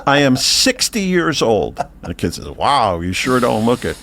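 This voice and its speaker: A man co-hosting a podcast, telling a long joke in casual chat, rambling and halting with many uhs before building to the punchline. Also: mid-range voice, very animated, a bit bright. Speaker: podcast co-host. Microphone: podcast mic, audio only.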